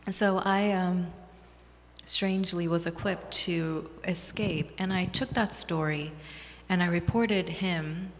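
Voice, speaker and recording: A woman author from Bangladesh answers interview questions in a measured, earnest, reflective way. Her voice sits on the low side for a woman. A long reverb trails her words around the hall. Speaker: author and guest. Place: small community gathering room.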